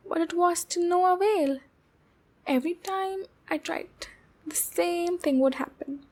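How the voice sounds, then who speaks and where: A woman playing a shy, lonely, obsessive demon girl in love, speaking slowly and intimately in an ASMR roleplay with a breathy, halting delivery. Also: high-pitched, exaggerated voice. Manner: slow, breathy, halting, with soft giggles.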